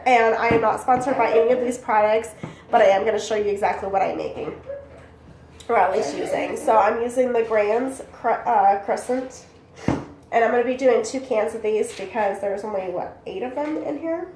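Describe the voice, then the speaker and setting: A woman's voice: fairly high pitch, moderate pace. A young woman with a congested voice from bronchitis, chatting casually as she demonstrates a recipe in her home kitchen. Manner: chatty and rambling, talks while working. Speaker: cooking channel host. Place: small home kitchen, indoors. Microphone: camera mic from a few feet away. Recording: echoey kitchen with background noise.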